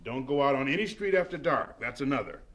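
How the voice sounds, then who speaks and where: An actor playing a stern, pragmatic middle-aged college president in a radio drama, lecturing briskly with authority. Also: male voice, medium pitch, lively intonation, a bit bright. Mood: stern, cautioning.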